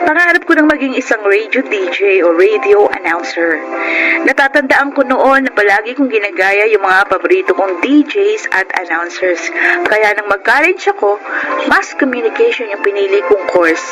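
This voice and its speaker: A woman's voice: mid-range voice, moderate pace, close to an everyday voice, low-quality recording. A woman playing a bubbly, earnest young aspiring radio DJ in a radio drama, with a Filipino accent. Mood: wistful, hopeful. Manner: perky, expressive, mixes in English. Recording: radio drama with music behind.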